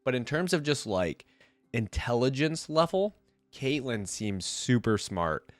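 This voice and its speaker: A man's voice: medium pitch, very animated, measured pace, no room sound. A man with a head cold giving casual running commentary as the host of a reaction video. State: congested with a cold.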